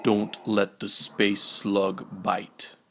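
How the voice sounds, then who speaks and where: A man narrating a bedtime storybook aloud in a hushed, measured, rhyming delivery. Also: lowish voice, monotone, noisy background.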